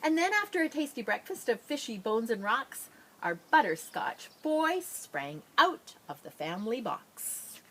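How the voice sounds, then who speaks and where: A woman reading a children's picture book aloud expressively, with drawn-out dramatic phrasing and playful asides. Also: on the high side, big pitch swings, a bit bright, slightly rough, poor audio. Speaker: storybook reader.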